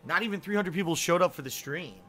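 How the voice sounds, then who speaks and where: Man, middle-aged, game streamer, bright voice, rambling, griping, casual live talk. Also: high-pitched, fast talker, faint music behind. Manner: rambling, complaining, many fillers.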